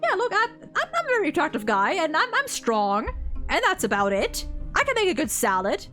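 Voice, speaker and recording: A woman's voice: extremely high pitch, huge pitch swings, a little fast, a bit bright, soft background music. A woman chatting casually as an enthusiastic fan, effusive and sweary, often stammering over repeated words. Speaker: streamer co-hosting a video game story ranking. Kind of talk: casual animated fan discussion.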